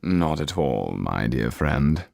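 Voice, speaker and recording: A man voicing a suave, courtly Count with formal, drawn-out speech. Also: very deep voice, big pitch swings, gravelly, darkish tone, no room sound, clean studio sound, voice pushed down, full character voice.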